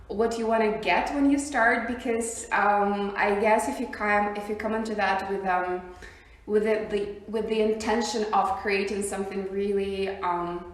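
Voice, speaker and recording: Young Russian woman in an echoey room. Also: medium pitch. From Siberia, Russia. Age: young adult. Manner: hesitant, many ums and likes, laughs often. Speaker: guest. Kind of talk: casual interview chat.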